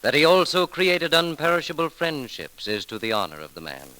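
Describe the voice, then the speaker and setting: A man's voice: fairly high pitch, very animated, fairly quick, bright, forceful tone, poor audio. An American man announcing a memorial program on 1930s radio with theatrical, reverent oratory, in an old broadcast recording.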